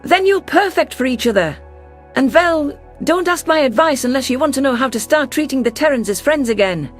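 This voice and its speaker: A woman's voice: high voice, animated, a little fast, faint music behind. Woman playing a spirited alien ambassador, earnest. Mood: defiant. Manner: earnest, assertive. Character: spirited, defiant female alien ambassador, fiercely protective of humans. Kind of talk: science fiction audio drama, first-person narration and dialogue.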